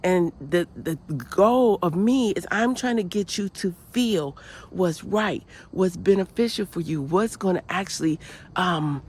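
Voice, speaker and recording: A woman's voice: lowish voice, a little slow, a little mellow, breathy edge. A woman hosting her own channel, nasal from a cold, giving an earnest, preachy motivational talk full of repeated phrases and "you know"s. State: has a cold, sounds nasal. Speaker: channel host. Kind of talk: motivational spiritual vlog talk.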